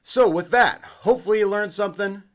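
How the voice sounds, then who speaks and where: A man's voice: sits high, a little fast. A man with an American accent narrating a scripted educational lesson in an emphatic explainer style. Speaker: host of educational history videos.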